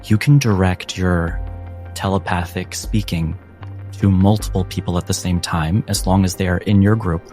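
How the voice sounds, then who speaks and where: A man narrating as game master in a dramatic, measured, suspenseful style with long pauses. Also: deep voice, fairly even intonation, darkish tone. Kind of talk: dramatic second-person tabletop narration. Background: background music.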